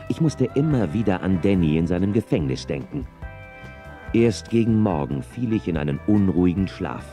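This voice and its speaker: A man's voice: low-pitched, mellow. An actor playing a wry western sheriff, telling his own story as scripted narration in a radio play.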